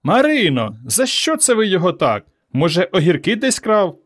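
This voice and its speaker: A man's voice: fairly high pitch, big pitch swings, a little slow. A male reader acts a curious village neighbour from a tale, calling over the fence. The voice is lifted and full of movement. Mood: nosy, surprised.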